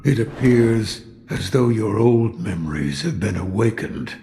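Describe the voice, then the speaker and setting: An actor playing a weary old sculptor in a video game, gruff, guarded and grave, with a gravelly voice. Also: male voice, fairly low pitch, animated, measured pace, dark tone. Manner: curt, grave. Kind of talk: dramatic video game voice acting. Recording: long hall-like reverb.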